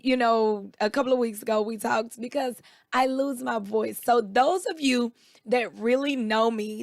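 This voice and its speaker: A woman with a raspy, slightly hoarse voice, chatting casually as co-host and acting out the people in her stories. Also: fairly high pitch, dry recording, clean studio sound. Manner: animated storyteller, quotes people in raised voices. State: recovering voice, a bit hoarse. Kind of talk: casual chat.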